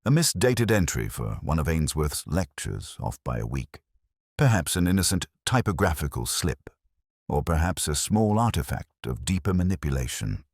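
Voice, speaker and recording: Man, very deep voice, lively intonation, measured literary mystery narration, reflective. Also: husky, no room sound, studio quality. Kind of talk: scripted first-person detective mystery narration.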